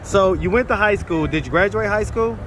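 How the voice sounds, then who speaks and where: A man hosting a street interview, firing direct, probing questions in easy casual slang, his voice sitting a bit higher than most men's. City traffic hums clearly behind him. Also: brisk. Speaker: street interviewer and channel host. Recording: street traffic noise outdoors.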